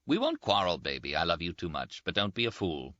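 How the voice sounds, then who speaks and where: A man voicing a brash, teasing young Italian army surgeon in an audiobook, quick and boastful. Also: deep voice, huge pitch swings, sharp tone, no room sound, dull audio, heavily acted. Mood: affectionate. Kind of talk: audiobook character voice.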